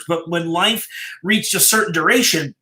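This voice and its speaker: Male narrator, crisp bright tone, dramatic pauses, measured story reading, echoey room. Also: high-pitched. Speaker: storyteller reading a written horror story aloud. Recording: roomy, echoey live-stream sound.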